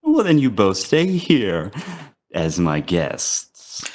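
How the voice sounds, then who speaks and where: A man's voice: on the low side, wildly swooping pitch, takes it slowly, heavily acted. An actor playing a sinister, playful immortal toymaker in an audio drama, theatrical and taunting in his mock courtesy. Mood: teasing.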